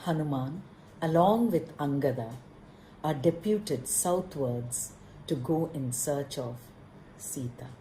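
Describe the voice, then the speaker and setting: A woman narrating a puppet-show story in measured, short dramatic phrases. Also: low-pitched, warm tone, slight background noise. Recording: roomy echo.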